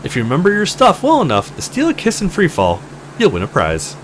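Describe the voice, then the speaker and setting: A man voicing an excitable, playful young woman in a visual novel game. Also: high-pitched, fairly quick, slight background noise, voice pushed up. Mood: playful, teasing.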